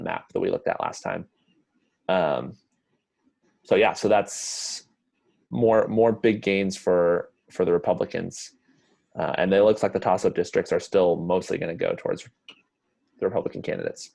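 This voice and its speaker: A man's voice: low-pitched, fairly even intonation, moderate pace, vocal fry, darkish tone. A man in his twenties with an American accent, co-hosting and chatting casually about news on a video call. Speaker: podcast co-host. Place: indoor room at home, video call.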